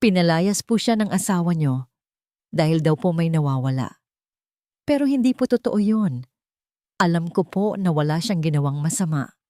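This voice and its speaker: Woman narrator doing a hurt shy filipino girl in a love story, pleading and polite, soft mellow tone, dry recording. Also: on the low side, lively intonation, normal speed, pauses often, dry recording, lightly acted. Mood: pained. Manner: deferential, pleading. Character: timid, hurt younger woman, respectful and pleading.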